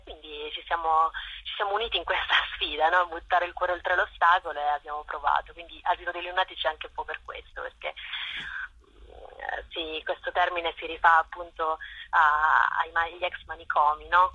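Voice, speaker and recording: A woman's voice: sits low, steady tone, normal speed, sharp tone, slightly rough. A woman being interviewed as a theatre director, explaining casually and often checking with little tag questions, over a phone call. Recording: dull, roomy radio phone-in sound.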